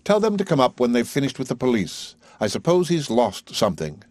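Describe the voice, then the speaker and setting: A man's voice: mid-range voice, very animated, fairly quick, a little mellow, dry recording, voice pushed up. A man voicing a composed, drily reproving older woman. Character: calm, dry-witted older mother, composed.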